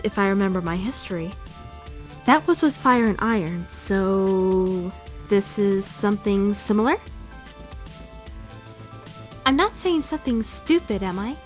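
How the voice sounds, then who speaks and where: A woman's voice: medium pitch, animated, normal speed, natural voice. A woman playing a prim, bookish young student who is easily indignant, in a fan-dubbed visual novel with formal, theatrical delivery. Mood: nervous, rambling. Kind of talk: first-person visual novel fan dub narration and dialogue. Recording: music behind the voice.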